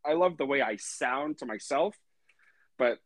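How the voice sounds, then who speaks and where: A man's voice: mid-range voice, animated, unhurried, no echo, clean studio sound. A man hosting a podcast in a laid-back, casual way, swearing freely and saying "you know" a lot. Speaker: podcast host.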